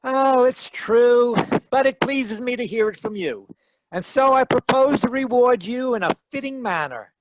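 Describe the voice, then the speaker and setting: Male actor as wry 1940s radio private eye: smooth-talking, theatrical, higher-pitched, dry sound. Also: expressive, measured pace, no echo, rough recording. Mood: playful. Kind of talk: 1940s radio detective acting.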